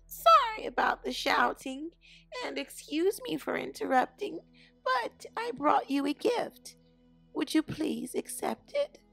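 A courteous old grandmother bear from a children's storybook, acted out by a woman, speaks in a raised, high pitch. She is gently instructive and careful with her words, over music. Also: very animated, slow, creaky, exaggerated voice, poor audio. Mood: apologetic, polite. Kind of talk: children's storybook read-aloud.

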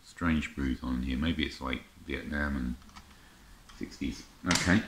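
Very deep-voiced middle-aged man chatting. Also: a little slow, fairly bright tone. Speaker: vlogger showing a music haul. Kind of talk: casual chat to camera, unscripted. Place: indoor room lined with record shelves. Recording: roomy echo, faint noise, clicking handling sounds.

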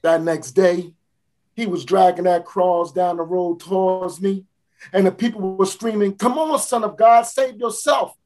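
A boastful, mocking street fruit seller tells the tale in plain folksy speech, played by a woman. The delivery is theatrical: lines pile up in repetition and then break out into sudden shouts. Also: mid-range voice, steady tone, measured pace, close to an everyday voice. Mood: grim. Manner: folksy, dramatic, with repeated builds and sudden shouts. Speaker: dramatic monologue performer. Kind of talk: theatrical spoken-word monologue.

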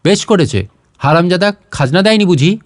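A man's voice: sits high, animated, normal speed, no echo, clean studio sound. A man voicing an irritable, contemptuous landlord's steward in a storybook reading, scolding and cursing haughtily. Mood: angry.

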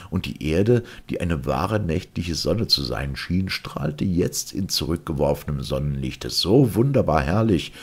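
Guy reading an audiobook, super deep voice, kinda husky, dramatic and measured. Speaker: audiobook narrator. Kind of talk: scripted narration of an old science-fiction novel.

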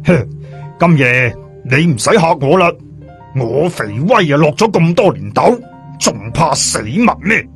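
A man's voice: a little high, lively intonation, a little slow, faint music behind. A man voicing a cocky, scoffing tough guy in an audiobook, boastful and sneering. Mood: cocky, dismissive. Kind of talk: audiobook character dialogue.

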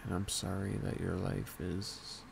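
A man playing a worried, devoted boyfriend in an intimate ASMR roleplay, speaking in a halting, tender hush that often drops toward a whisper, with a gravelly edge. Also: deep voice, heavily acted. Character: worried, devoted boyfriend comforting his partner. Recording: steady fan noise behind the voice. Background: whirring fan.

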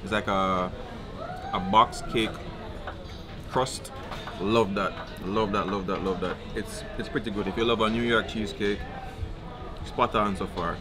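A man's voice: fairly low pitch, measured pace, long pauses. A young man, vlogging casually from a cruise ship dining room while he tries the food. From Jamaica. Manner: relaxed, chatty, food-tasting commentary. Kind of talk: casual travel vlog. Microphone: camera held at arm's length.

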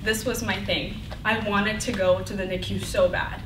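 A young woman from Bulgaria giving an earnest, animated personal talk as a student speaker in a brick-walled lecture hall. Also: mid-range voice, normal speed, recorded from a distance. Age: young adult. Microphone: far room mic. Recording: echoey hall recorded from afar, noisy.

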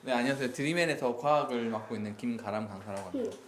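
A man's voice: mid-range voice, lively intonation, very fast, slight background noise. A man with a Busan accent, a teacher, giving casual interview answers. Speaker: science teacher. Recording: loud guitar music over the voice. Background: guitar music.

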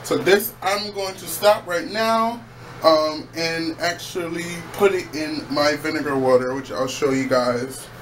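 A man's voice: on the high side, a little slow. A young man casually talking through a DIY project in his home bathroom, chatty with playful asides. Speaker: DIY vlogger. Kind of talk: casual how-to vlog. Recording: echoey small room, faint background noise.

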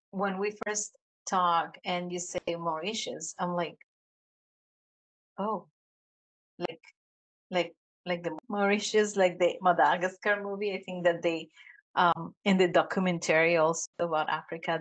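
Enthusiastic woman podcast host, lowish voice. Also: a bit bright, rough recording. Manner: enthusiastic, scripted intro then casual. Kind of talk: podcast interview. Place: home office with bookshelves. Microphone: podcast mic on video call.

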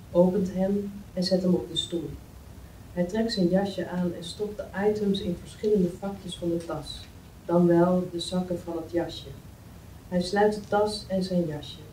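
A woman's voice: lowish voice, steady tone, unhurried, mellow. A woman reading screenplay stage directions aloud as scripted narration at a table read in a meeting room. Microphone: far camera. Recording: roomy, distant mic, faint noise.

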